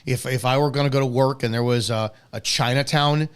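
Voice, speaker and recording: A man's voice: medium pitch, steady tone, brisk, clear, resonant tone. An American man hosting a live talk show in a blunt, profane conversational manner. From United States. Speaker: host of a law enforcement talk show.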